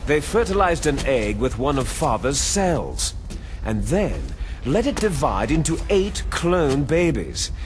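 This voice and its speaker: A bitter soldier villain from a video game, delivering grandiose monologues in a fairly high male voice that swoops widely. He is menacing and taunting, drawing out his words with theatrical relish. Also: a little hiss or hum. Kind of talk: dramatic video game cutscene acting.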